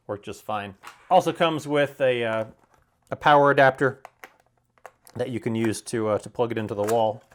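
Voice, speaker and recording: A man giving an unscripted product demonstration in a plain-spoken, explanatory way, sometimes halting to correct himself. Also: medium pitch, slight background noise. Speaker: product reviewer.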